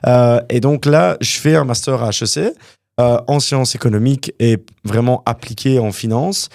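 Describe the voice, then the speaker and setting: A young man from Canada, a guest entrepreneur telling his story in a casual interview, animated and full of fillers. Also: mid-range voice, moderate pace, vocal fry, dry recording, studio quality. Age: young adult.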